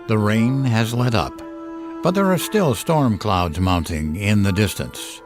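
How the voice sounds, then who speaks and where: A man playing the brooding narrator of a dark audio drama, measured and theatrical. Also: on the low side, expressive, music in the background. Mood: ominous, wry.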